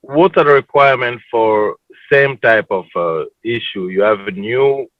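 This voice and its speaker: A man's voice: medium pitch, normal speed, no echo, highs cut off. A man moderating a forum. He puts long questions to the panel and halts and restarts his sentences as he goes.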